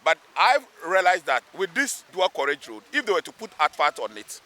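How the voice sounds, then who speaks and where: A man's voice: high voice, big pitch swings, a little fast, bright, forceful tone. A man with a Ghanaian accent speaking emphatically as a local assemblyman in an outdoor interview, repeating phrases for weight. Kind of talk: spoken interview, pleading advocacy. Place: outdoors beside a road underpass.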